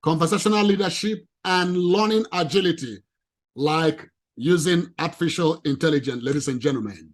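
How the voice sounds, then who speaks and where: A man with a non-native English accent giving an animated, emphatic presentation to a professional audience. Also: fairly high pitch, normal speed. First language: not English. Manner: emphatic, lively, addresses ladies and gentlemen.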